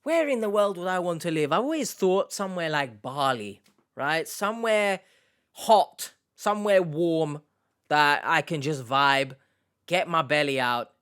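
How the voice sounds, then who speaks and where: Jokey young man, clear voice. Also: fairly high pitch, expressive, unhurried, a bit bright. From Turkish Cypriot heritage, based in the UK. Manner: jokey, rambling, self-mocking. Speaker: YouTube host. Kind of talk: casual Q&A chat, reading out viewer questions.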